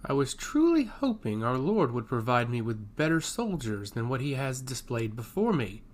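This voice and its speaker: A man performs a stern merman officer from a fantasy story, solemn and commanding, with wide swings in pitch. He speaks with open contempt, pressing each order home harshly. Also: very animated, moderate pace, slight background noise, natural voice. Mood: cold contempt.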